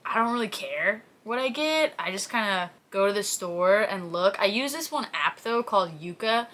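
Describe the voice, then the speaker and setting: Female, 20s. Bright mid-pitched voice, casual storytelling vlog delivery, acts out quotes. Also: lively intonation, moderate pace. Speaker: fitness content creator. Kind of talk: casual chat, answering viewer questions to camera. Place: small plain indoor room, seated.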